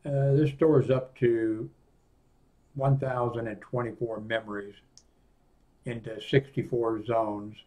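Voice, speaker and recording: An older man with an American accent giving an unscripted product walkthrough in a halting, rambling way that often trails off. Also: medium pitch, level tone, warm tone. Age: 60s or older. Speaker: presenter of a radio equipment review. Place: small indoor room at a desk.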